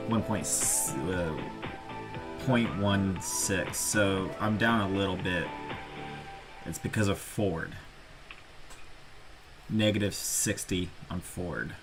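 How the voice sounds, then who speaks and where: A man's voice: low-pitched, takes it slowly, pauses often, music in the background. A man hosting a casual livestream, rambling and talking half to himself. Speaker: livestream host.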